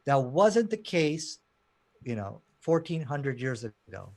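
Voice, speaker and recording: A man's voice: mid-range voice, sing-song, takes it slowly, darkish tone. A man from Pakistan speaking as a debater, arguing in an emphatic, challenging way. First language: not Arabic; Arabic learned as second language. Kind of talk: impassioned debate argument.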